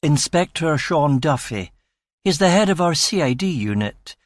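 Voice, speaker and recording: Man acting young male chief inspector, Edinburgh Scottish accent, anxious, soft, prissy, higher-pitched, audiobook character. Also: big pitch swings, measured pace, no room sound. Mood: anxious, hushed. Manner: anxious, flustered, hesitant.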